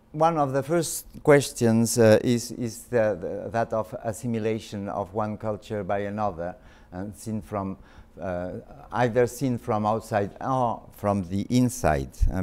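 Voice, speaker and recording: French-accented man giving a halting lecture. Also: on the low side, a little mellow, clean tone. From Spain. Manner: halting, many fillers and restarts. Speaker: visiting art history professor. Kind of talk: academic lecture. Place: lecture hall.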